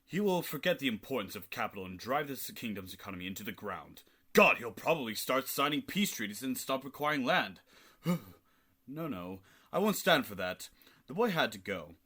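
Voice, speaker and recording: Guy doing a snooty villain king, mean and scheming, voice swings all over the place, really sharp bright tone. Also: on the low side, breathy edge, full character voice. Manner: haughty, sneering, theatrical. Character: scheming middle-aged king, cruel and sneering. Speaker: student actor. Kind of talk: amateur radio play acting.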